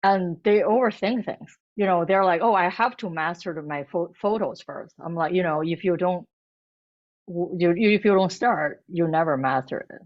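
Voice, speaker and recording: Halting woman, Chinese native speaker. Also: on the low side, dull audio. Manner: halting, many restarts and fillers. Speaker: cookbook author and food blogger. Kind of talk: bookstore author talk.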